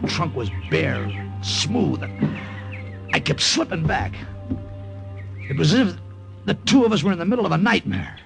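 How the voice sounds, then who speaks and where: An American airman in a vintage radio war drama, his voice gravelly and swinging widely in pitch. He is slangy and dramatic, halting under strain, with music heard behind him. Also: very animated. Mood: grim narration. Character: excitable, wisecracking American airman telling his war story. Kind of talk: 1940s-50s radio drama narration and acting. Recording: old-time radio recording with music.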